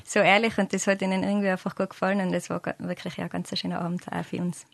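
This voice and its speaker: A woman's voice: fairly low pitch, little pitch movement, quick pace, gravelly, no room sound. A middle-aged woman from Tyrol with a Tyrolean accent, answering interview questions casually and searching for her words as a guest singer-songwriter. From Tyrol, Austria.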